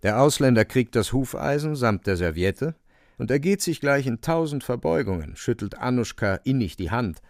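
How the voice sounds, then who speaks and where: Gravelly, lively male radio drama narrator. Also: medium pitch, normal speed, dry recording, studio quality. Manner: vivid, suspenseful storytelling.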